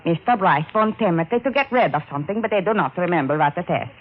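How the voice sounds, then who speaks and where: A woman playing a nervous, eager housemaid with a foreign accent and halting, broken English in radio drama. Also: on the low side, lively intonation. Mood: earnest recalling. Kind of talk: 1940s-50s radio drama acting. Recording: tinny, phone-like old radio recording.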